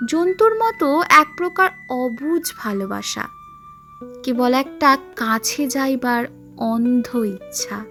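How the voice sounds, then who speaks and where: High-voiced woman narrating, slow and expressive. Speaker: storyteller. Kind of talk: dramatic audiobook narration of a literary story.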